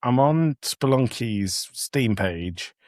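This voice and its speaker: A man's voice: mid-range voice, animated, slow, darkish tone, dry recording, studio quality. A blunt, sweary man co-hosting a casual podcast chat. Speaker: podcast co-host.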